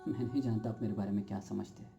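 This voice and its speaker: A man performing a stage monologue as a brooding, uncertain everyman, speaking deliberately and philosophically with dramatic emphasis straight to the audience. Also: lowish voice, steady tone, vocal fry, dark tone, highs cut off, soft background music. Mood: brooding, reflective. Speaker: actor performing an audition monologue.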